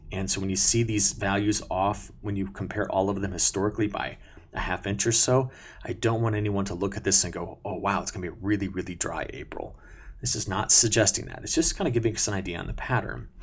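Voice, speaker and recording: A man's voice: deep voice, gravelly, husky. A man presenting an unscripted weather briefing in a conversational, self-correcting way, talking viewers through maps as a forecaster. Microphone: new sensitive close mic at a desk.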